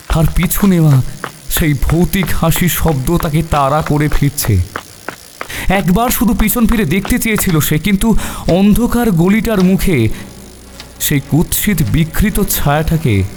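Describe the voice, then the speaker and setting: A man's voice: fairly high pitch, a little mellow. A man narrating a scripted horror story in a dramatic storytelling style. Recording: long reverb, background music bed.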